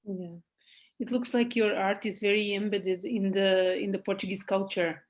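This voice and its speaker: Tentative woman interviewer, fairly even pitch. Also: moderate pace. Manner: tentative, self-correcting, careful phrasing. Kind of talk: conversational interviewing.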